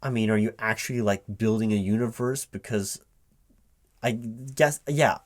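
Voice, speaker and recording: A man playing a baffled, incredulous recruiting manager in a spoken ASMR job interview roleplay, halting and repeating himself in disbelief. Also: lowish voice, close to an everyday voice. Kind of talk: one-sided ASMR roleplay, softly paced but spoken aloud, improvised.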